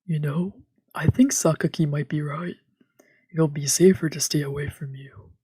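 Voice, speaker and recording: A woman voicing a blunt, distrustful younger man from a visual novel, husky and breathy. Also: sits low, steady tone, moderate pace, warm tone, no room sound. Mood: accusing. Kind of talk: reading visual novel dialogue aloud.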